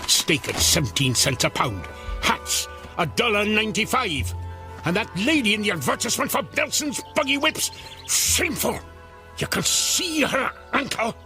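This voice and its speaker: An actor playing a grumbling, proud working-class janitor in a period radio drama. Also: male voice, high voice, big pitch swings, normal speed. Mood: indignant. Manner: griping, exclaiming, muttering to himself. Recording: music behind the voice.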